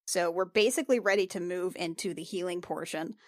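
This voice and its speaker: A woman's voice: lowish voice, brisk, no room sound, studio quality. A woman playing a tabletop game, talking through her character's plans with lots of hesitation. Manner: hesitant, many fillers while planning. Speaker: player.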